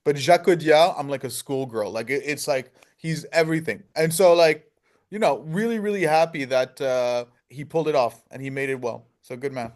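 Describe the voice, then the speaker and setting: A man's voice: on the high side, normal speed, a little mellow. A man being interviewed, chatting casually and emphatically in fluent, slangy English. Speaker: film director being interviewed.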